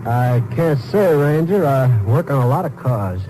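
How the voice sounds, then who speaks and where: An actor playing a nervous, evasive small-town mechanic who stammers and hesitates in a 1950s radio drama. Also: male voice, medium pitch, lively intonation, unhurried, mellow, lightly acted, rough recording. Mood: wary. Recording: old radio broadcast with faint noise.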